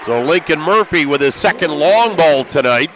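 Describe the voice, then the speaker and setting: A man's voice: fairly high pitch, animated, moderate pace. A man calling live basketball play-by-play as a sports broadcaster. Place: high school basketball gym. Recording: crowd and gym noise behind. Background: gymnasium crowd, bouncing basketball.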